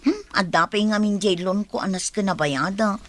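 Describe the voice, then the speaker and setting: A woman's voice: mid-range voice, moderate pace, no room sound, lightly acted. A woman playing a young working daughter in an audio drama, plaintive and grumbling, with an Ilocano accent. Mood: dismayed. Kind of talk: Ilocano radio-style drama acting.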